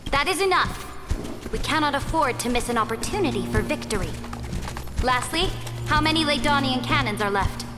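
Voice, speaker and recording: An actress playing a proud, commanding conquering empress in a video game, speaking in grand, formal declamation. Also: female voice, sits high, expressive, moderate pace. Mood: brisk, commanding. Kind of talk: dramatic video game voice acting. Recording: long reverb with loud music behind.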